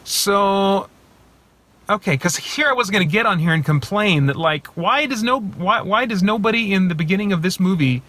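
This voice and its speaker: A man in his thirties talking casually to a phone camera from inside his parked car, thinking aloud with many pauses and restarts. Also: sits high, animated, a bit bright. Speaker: movie reviewer and channel host. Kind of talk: casual spoiler talk to camera. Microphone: phone camera at arm's length.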